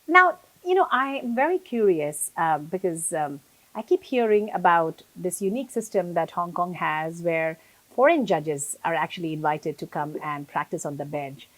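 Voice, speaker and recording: A woman's voice: lowish voice, animated, normal speed, no echo, rough recording. A woman hosting an interview, asking curious questions and encouraging her guest with short replies. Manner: curious, encouraging back-channels. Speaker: interview host.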